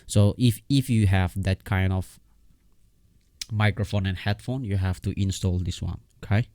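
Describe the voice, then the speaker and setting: Deep, mellow man giving a halting tutorial. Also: no room sound. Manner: halting, repetitive, with frequent check-in words. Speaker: software tutorial host. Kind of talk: casual unscripted screen tutorial.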